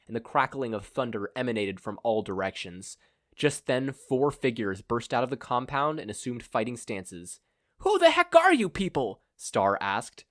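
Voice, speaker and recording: A man's voice: fairly low pitch, fairly bright tone, no room sound. Dry, close male story narrator. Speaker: podfic narrator.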